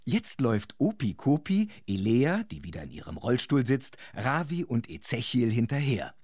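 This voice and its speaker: Guy narrating a kids audio play, husky, really animated, medium pitch. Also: moderate pace, no echo. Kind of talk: dramatic scripted children's audio story narration.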